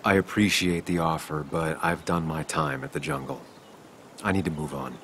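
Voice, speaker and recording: An actor playing a weary, remorseful journalist in a video game, plain-spoken and subdued. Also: male voice, low voice, lively intonation, moderate pace, creaky, fairly bright tone, slight background noise, full character voice. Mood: somber, resigned. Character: weary, remorseful male journalist grieving a loss. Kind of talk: video game cinematic dialogue.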